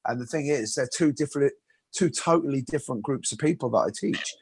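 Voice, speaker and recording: A man's voice: mid-range voice, fairly quick, a little mellow, slightly rough. A man hosting an interview, chatty and self-deprecating, often repeating words as he talks. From England. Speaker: host and martial arts instructor. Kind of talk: casual interview chat.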